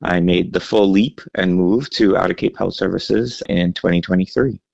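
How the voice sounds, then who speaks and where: A man from the Bahamas, a health center chief executive, speaking earnestly in a radio feature with frequent pauses and ums. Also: deep voice, gravelly, a little mellow, no echo, highs cut off. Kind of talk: radio interview answers.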